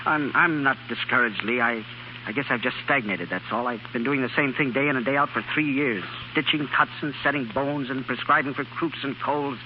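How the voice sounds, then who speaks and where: A man's voice: medium pitch, brisk, lightly acted. A man acting an earnest young country doctor in a 1930s radio drama, plain-spoken and a little hesitant. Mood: weary, tender. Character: earnest young male country doctor, sincere, self-doubting. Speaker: radio actor. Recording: muffled 1930s radio broadcast, faint hiss.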